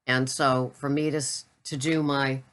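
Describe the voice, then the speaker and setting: Low-voiced older woman chatting casually. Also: flat delivery, a little slow. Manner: chatty, rambling, self-deprecating. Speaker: keto vlogger. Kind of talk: casual talking-head chat.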